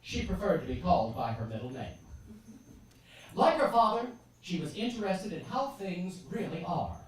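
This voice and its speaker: Woman, low voice, swooping intonation, dramatic storytelling narration, echoey theatre, noisy distant recording. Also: big pitch swings, normal speed. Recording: echoey theatre, far mic, clear noise.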